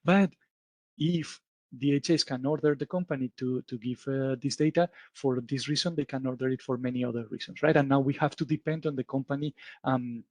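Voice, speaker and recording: A man with a Spanish accent lectures at a symposium, walking through his points with plenty of ums and a habit of asking "right?". His voice sits at a middle pitch, and the sound is dry and close, with little room echo. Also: steady tone, fairly quick. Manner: explanatory, many fillers and "right?" checks. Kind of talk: conference talk. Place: lecture hall.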